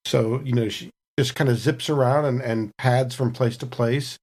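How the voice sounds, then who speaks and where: A man's voice: mid-range voice, steady tone, moderate pace, darkish tone. A man playing a tabletop game, describing his character's moves in a casual, improvised way.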